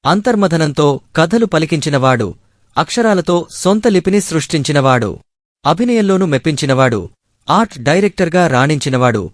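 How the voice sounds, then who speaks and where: A man narrates a documentary in poetic, scripted phrases. His pitch is fairly high and moves widely, and the recording is dry and close. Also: lively intonation, brisk, no echo.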